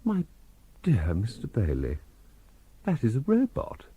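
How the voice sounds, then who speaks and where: An actor playing a genial, very old spacefaring scientist in a radio drama, speaking in a formal, measured, commanding way. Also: male voice, medium pitch, wildly swooping pitch, mellow, husky, dry recording, dull audio, full character voice. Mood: smooth, condescending.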